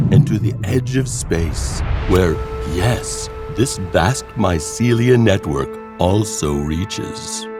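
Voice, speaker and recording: A man narrating a scripted horror audio drama as a theatrical storyteller, with dramatic pauses. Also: lowish voice. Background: music.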